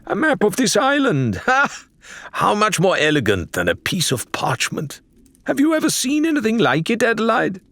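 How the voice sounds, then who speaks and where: A man voicing an excitable, grandly eloquent male adventurer in an audiobook, theatrical and exclamatory. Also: sits high, normal speed, no echo, pitched above the performer's own voice, heavily acted. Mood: triumphant.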